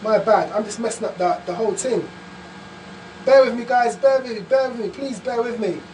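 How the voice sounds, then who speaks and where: A male radio show host talking live, excitable and exclamatory, often repeating his phrases. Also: sits high, a little fast, slight background noise, low-quality recording.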